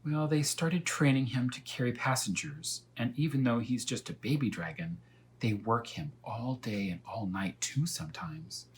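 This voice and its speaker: A man's voice: medium pitch, natural voice. A man voicing a talkative female cat who tells her tale earnestly and without hurry in a children's storybook. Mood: concerned, pitying. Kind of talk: children's storybook read-aloud.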